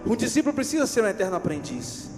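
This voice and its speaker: A male pastor from Rio speaks with a Carioca accent and a notably high voice. He preaches with animation, acts out little scenes from daily life, and keeps calling for amens. The sermon rings through a church hall with a long echo and soft music behind it. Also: sing-song, quick pace. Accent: Carioca Brazilian Portuguese. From Rio de Janeiro, Brazil.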